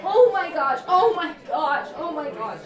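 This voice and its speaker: A woman's voice: high-pitched, animated, unhurried. A woman acting a frantic teenage girl at a party in an amateur audio drama, repeating her words, recorded in a roomy space at a distance. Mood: panicked, scolding. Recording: roomy, distant mic, crowd murmur.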